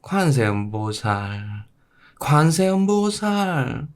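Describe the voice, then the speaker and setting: A man voicing a devoted, poor mother in an old Korean folktale, speaking in a slow, drawn-out, plaintive way. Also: mid-range voice, very animated, smooth, voice pushed up, exaggerated voice. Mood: resigned chanting.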